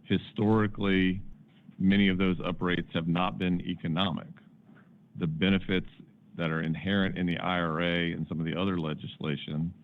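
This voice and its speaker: A man speaking as a company executive on an earnings call, giving measured answers with emphatic repeated phrasing. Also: sits low, level tone. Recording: dull band-limited conference call audio.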